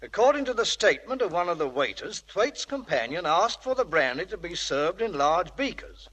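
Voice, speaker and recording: An actor playing a polite, apologetic police inspector, formal and deferential, in old radio drama style. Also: male voice, high-pitched, sing-song, moderate pace, a bit bright, a little hiss or hum. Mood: grave, formal. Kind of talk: 1940s radio acting.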